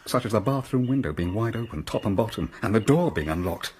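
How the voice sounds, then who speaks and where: A man playing an excitable young gent. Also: lowish voice, fast talker, slight background noise, lightly acted. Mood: shocked. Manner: excitable, blustering. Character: excitable young English gentleman. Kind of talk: 1920s-set radio drama.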